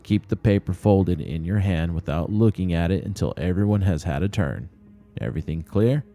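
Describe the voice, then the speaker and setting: A man voicing a brisk, folksy village official who calls out a roll in a storybook reading. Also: low voice, warm tone, soft background music. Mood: calling out. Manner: folksy, businesslike, calling out.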